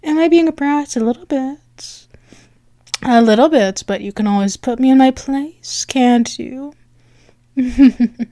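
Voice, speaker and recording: A woman playing a flustered, bratty but affectionate cat-girl girlfriend in an ASMR roleplay, halting and teasing as she stammers. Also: high-pitched, mellow, dry recording, studio quality. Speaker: audio roleplay performer.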